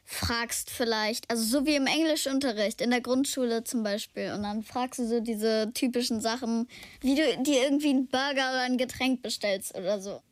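A woman's voice: a little high, moderate pace, no room sound. A young girl talking casually as an interviewee. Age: child or teenager.

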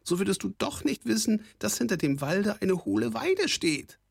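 A man's voice: high voice, big pitch swings, normal speed, gravelly, breathy edge, voice pushed up, heavily acted. A man voicing a sly, spiteful little male goblin with a squawking, nasal voice, mocking as he talks. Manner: mocking, gloating. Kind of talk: audiobook character voice.

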